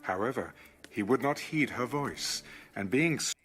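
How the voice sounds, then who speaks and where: A man narrating an audio Bible in a scripted, dramatic storytelling style over soft music. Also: medium pitch, expressive, unhurried.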